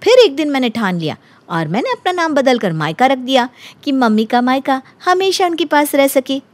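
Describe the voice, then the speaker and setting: A woman voicing a soft-hearted, earnest and anxious young woman, plaintive and sincere, in an animated story. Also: sits high, moderate pace, voice pushed up. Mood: wistful. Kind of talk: animated Hindi story character acting.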